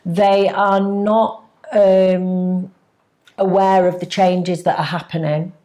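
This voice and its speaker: A woman's voice: on the low side, little pitch movement, slow pace, mellow. A woman co-hosting a casual podcast, talking earnestly and giving advice. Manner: earnest, advising. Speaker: co-host.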